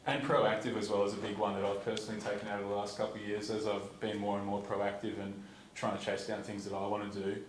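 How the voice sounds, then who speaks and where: A man's voice: on the low side, completely flat delivery, fast. A young man from Perth with an Australian accent, giving an informal, rambling talk to a conference audience in a lecture theatre, with many ums and restarts. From Perth, Western Australia. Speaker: student speaker at a conference. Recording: echoey hall, distant, noisy.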